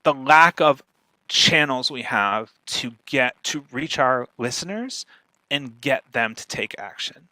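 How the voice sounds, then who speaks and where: A man speaking conversationally as a guest expert, animated and often checking in with "right?". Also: mid-range voice, slow pace, no room sound, poor audio. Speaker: guest speaker, podcaster and marketer. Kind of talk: conversational talk on podcast marketing.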